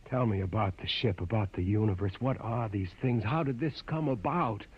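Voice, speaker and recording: An actor in a vintage radio drama playing an earnest, questioning captive with a halting, intense delivery. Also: male voice, on the low side, husky, lo-fi sound. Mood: searching. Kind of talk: 1950s radio drama acting. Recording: noisy vintage radio recording.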